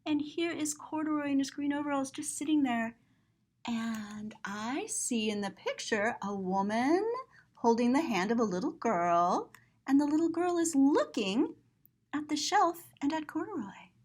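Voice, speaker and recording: A woman reading a children's story aloud and describing the pictures, expressive and explanatory. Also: high-pitched, unhurried.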